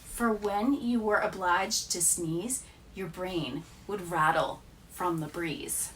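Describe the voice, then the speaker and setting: A woman teacher reading children's poems aloud with a deliberate, expressive storytelling delivery. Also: lowish voice, distant mic, rough recording.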